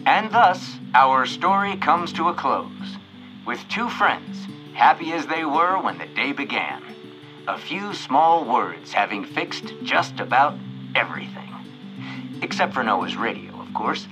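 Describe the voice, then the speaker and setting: Folksy male radio host, animated pitch, dramatic, wry, storybook narration, music underneath. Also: unhurried, low-quality recording. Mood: theatrical, wry. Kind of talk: old-time radio show narration.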